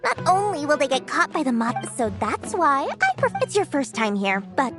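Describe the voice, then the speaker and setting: A woman's voice: high voice, big pitch swings, moderate pace, over loud music. A woman playing a small, greedy, sly young merchant with a theatrical, wheedling sales manner in a video game. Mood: haughty.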